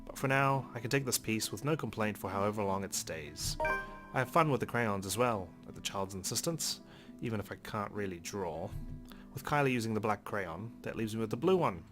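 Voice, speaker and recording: A man's voice: on the low side, animated, fairly quick. A man hosting a let's play, reading visual novel narration aloud expressively with wry asides, over faint game music.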